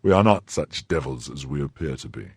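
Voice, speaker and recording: Male reader doing a jaded aristocratic musketeer, low and languid, dry sound. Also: lively intonation, brisk, breathy edge, dry recording, exaggerated voice. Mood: weary. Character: weary hard-drinking nobleman musketeer, aloof.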